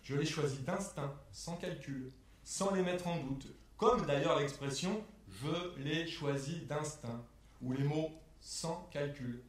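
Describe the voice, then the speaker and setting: A man delivering a scripted spoken exposé in a measured, methodical way, setting phrases apart like quotations. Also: a little high, distant mic. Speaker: performer in a spoken-word performance lecture. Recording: echoey room, picked up from afar.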